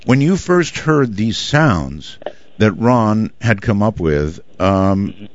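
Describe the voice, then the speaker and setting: A man's voice: fairly low pitch, very animated, takes it slowly. Inquisitive male radio host. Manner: inquisitive, loose phrasing. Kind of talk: radio interview. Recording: dull lo-fi audio.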